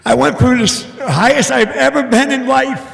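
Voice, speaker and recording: An older man with a big, booming voice giving an earnest, halting personal testimony to a church congregation over a mic. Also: steady tone, vocal fry, fairly bright tone. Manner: earnest, halting, emotional storytelling. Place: church hall. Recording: echoey hall with long reverb.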